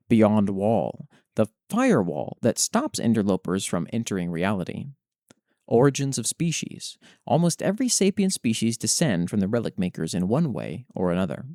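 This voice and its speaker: A man hosting a show, reading written fantasy lore aloud in an expressive, dramatic way with brief casual commentary. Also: medium pitch, no room sound, clean studio sound. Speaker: host reading listeners' worldbuilding submissions.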